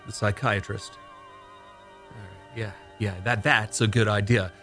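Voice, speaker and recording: A man acting in an old-time radio drama as a shaken, insistent rocket test pilot, speaking urgently and haltingly. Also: lowish voice, fairly bright tone, faint music behind. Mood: uneasy, defensive.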